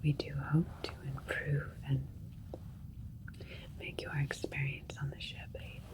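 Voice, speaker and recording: A woman playing a calm, caring alien doctor in an ASMR roleplay, speaking in a soft breathy whisper with a gentle, clinical, reassuring manner. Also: deep voice, full character voice, low-quality recording. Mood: gentle, polite.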